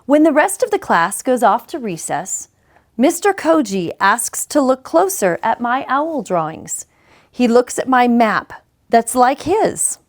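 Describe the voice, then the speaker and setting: A woman reading a children's picture book aloud in a deliberate, measured way with dramatic pauses. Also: fairly high pitch, very animated. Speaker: story reader, school superintendent.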